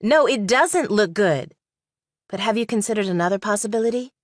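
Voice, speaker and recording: A woman acts a shrewd young female law student in audiobook thriller dialogue. She is direct and probing, with a medium-pitched voice in a dry recording. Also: expressive, brisk, no room sound, natural voice. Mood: analytical, challenging. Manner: direct, questioning, analytical.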